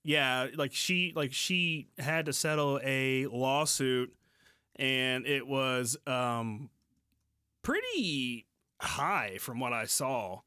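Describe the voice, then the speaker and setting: A man's voice: mid-range voice, expressive, very slow, bright, forceful tone, clean tone, no room sound, clean studio sound. A man in a casual podcast conversation, thinking aloud informally as co-host.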